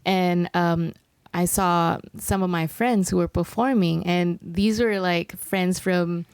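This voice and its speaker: A woman's voice: on the low side, fairly even intonation, slow, clear, resonant tone, no echo. A young woman from Malaysia, a singer chatting casually as an interview guest, filling her talk with "like" and "um". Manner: chatty, many fillers like "like" and "um". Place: recording studio.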